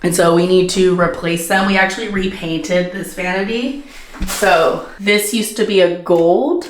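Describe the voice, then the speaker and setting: A young woman talking casually to her phone camera for her vlog, rambling and laughing, her voice hoarse from a cold. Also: on the low side, unhurried, a little hiss or hum. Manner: chatty, rambling, laughs often. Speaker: book vlogger.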